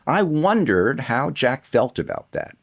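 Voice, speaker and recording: Medium-pitched man introducing a show. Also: big pitch swings, a little slow. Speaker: presenter introducing the production. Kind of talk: scripted introduction.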